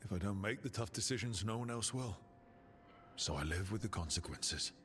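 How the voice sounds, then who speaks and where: A determined adventurer in charge of a squad in a fantasy game. His voice is on the low side, businesslike and steady, over faint ambience. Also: normal speed, a little hiss or hum. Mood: reflective. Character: resolute male team leader in a fantasy adventure. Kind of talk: video game voice acting.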